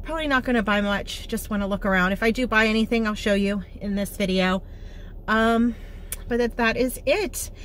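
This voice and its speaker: A woman's voice: mid-range voice, normal speed, fairly bright tone, slight background noise. A middle-aged American woman talking informally and chattily to viewers as a home cooking and lifestyle vlogger. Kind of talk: casual chatty vlog to viewers.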